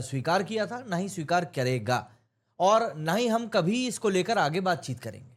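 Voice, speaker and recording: An Indian man giving an opinionated news explainer, speaking emphatically and mixing in English terms. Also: high voice. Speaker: news commentator.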